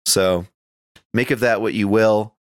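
A man's voice: lowish voice, fairly even intonation, unhurried, no echo. A man co-hosting a podcast, chatting casually about sports in a rambling, conversational way. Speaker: podcast co-host.

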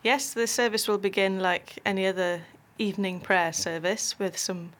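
A woman vicar calmly explaining how a church carol service works, in casual conversation. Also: mid-range voice, moderate pace.

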